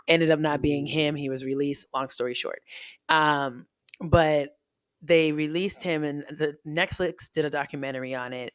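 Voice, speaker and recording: A man in a casual podcast chat, talking in an animated, expressive and frank way, often restarting his sentences. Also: medium pitch, smooth, no room sound, clean studio sound. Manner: frank and self-teasing. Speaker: guest podcaster.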